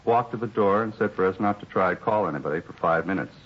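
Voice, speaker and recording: Weary, low, husky-voiced American barman. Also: fast talker. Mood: shaken, earnest. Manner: rambling, plainspoken, resigned. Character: weary, put-upon male bar employee, grumbling witness. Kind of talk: 1950s radio drama acting. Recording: muffled 1950s radio recording.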